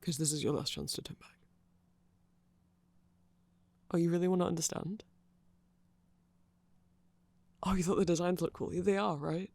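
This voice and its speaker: A man voicing a nerdy, friendly vampire in a casual ASMR roleplay, soft-spoken and rambling haltingly close to the microphone. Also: high voice, steady tone, vocal fry, no echo. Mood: shy, hesitant. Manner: halting, self-deprecating rambling with small laughs. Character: nerdy, hesitant, friendly male vampire music fan. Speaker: ASMR audio roleplay performer.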